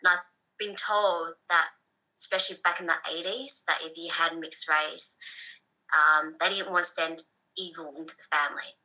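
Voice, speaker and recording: Bright-voiced woman telling her story. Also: fairly low pitch, steady tone, moderate pace, poor audio. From Thailand. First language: Thai. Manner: soft-spoken, hesitant with frequent ums. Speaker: guest. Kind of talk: personal story interview.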